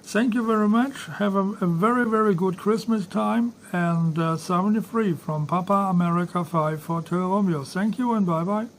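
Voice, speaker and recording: Dutch guy doing a ham radio chat, hesitant and polite, bit high pitched, slight background noise. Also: normal speed, few pauses. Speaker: amateur radio operator. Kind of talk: casual ham radio contact.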